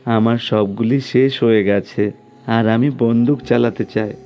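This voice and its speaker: A man's voice: mid-range voice, steady tone, unhurried, warm tone, soft background music, natural voice. A man voicing an eager little boy pestering his mother in a cartoon story. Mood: eager, demanding. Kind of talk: scripted cartoon story acting.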